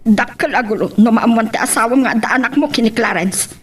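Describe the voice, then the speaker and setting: A woman's voice: on the high side, quick pace, breathy edge. A woman with an Ilocano accent playing a stern, probing middle-aged mother in an audio drama. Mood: shocked, scolding. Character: stern, probing mother questioning her grown son. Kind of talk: amateur Ilocano radio-style romance drama acting.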